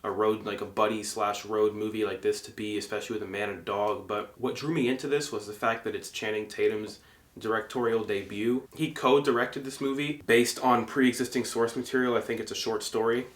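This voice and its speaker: A man's voice: on the low side, steady tone, brisk, echoey room. A young man from Egypt giving a casual movie review monologue, full of fillers and restarts. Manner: conversational, frequent fillers and self-corrections.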